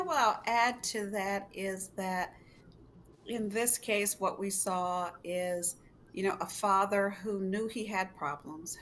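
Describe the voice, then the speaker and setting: Deliberate, lower-pitched woman panelist. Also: a bit bright. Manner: deliberate, measured phrasing with drawn-out words. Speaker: expert panelist with doctorate. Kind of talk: explanatory panel commentary. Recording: video call with faint background noise.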